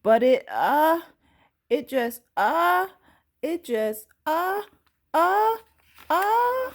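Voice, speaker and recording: A woman voicing a storybook child struggling to hold back a sneeze, in halting gasps. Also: very high voice, sing-song, voice pushed up, exaggerated voice. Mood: straining, about to sneeze. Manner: halting, gasping build-up.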